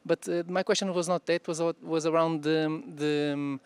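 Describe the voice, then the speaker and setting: A man in the audience asks a long technical question, hesitating and filling pauses with ums. His voice is gravelly yet resonant, and his delivery stays very flat. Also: on the high side. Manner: hesitant, many fillers. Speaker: audience member asking a question.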